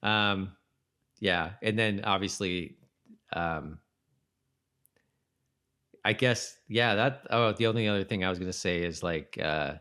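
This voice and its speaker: A man co-hosting a podcast, chatting informally about a TV show, haltingly and repeating himself for emphasis. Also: low voice, pushed and bright, clear, resonant tone. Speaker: podcast co-host. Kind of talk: casual TV-recap chat.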